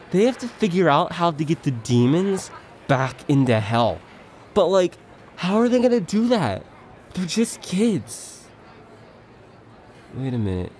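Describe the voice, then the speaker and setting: A slacker dude played by a male actor rambles earnestly through a theatrical comic monologue. His slangy speech drags with wondering pauses, then swings up and down with excitement. A faint noise sits behind his voice. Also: mid-range voice. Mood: excited, then baffled, wondering. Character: excitable laid-back slacker dude, earnest movie buff.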